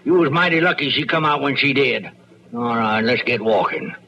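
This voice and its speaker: A male actor playing a gruff, ornery but soft-streaked old frontier farmer with a folksy American rural Western drawl, in an old-time radio Western. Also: mid-range voice, expressive, a little fast, close to an everyday voice. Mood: grumbling. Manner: folksy, grumbling, blustering. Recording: muffled, faintly noisy vintage radio recording.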